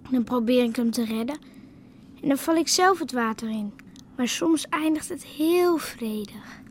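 A grieving young village girl in a radio drama. Her high voice is subdued and plain, quietly resolute, over faint ambient noise. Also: animated, measured pace. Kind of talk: naturalistic radio drama acting.

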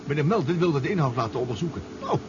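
A man's voice: on the high side, animated, racing, close to an everyday voice. A man acting a polite, eager scientist in a radio drama, explaining things a little hesitantly. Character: eager, polite scientist reuniting with an old colleague. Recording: muffled, noisy old radio recording.

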